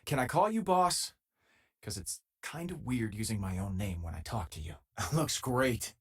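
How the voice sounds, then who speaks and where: A loyal, wry male crewmate from a space video game. His voice is husky and a touch low, with casual, self-deprecating delivery that moves freely in pitch. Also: animated, moderate pace. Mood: casual, friendly. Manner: casual, conversational, dryly joking. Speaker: game companion character. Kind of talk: video game voice lines, naturalistic acting.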